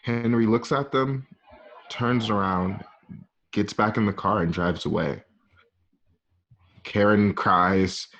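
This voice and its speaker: Male narrator for a script reading: lowish pitch, informal, halting delivery, lo-fi home sound. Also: a bit bright. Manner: halting, casual, present-tense scene description. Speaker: narrator reading stage directions. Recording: dull, lo-fi home recording.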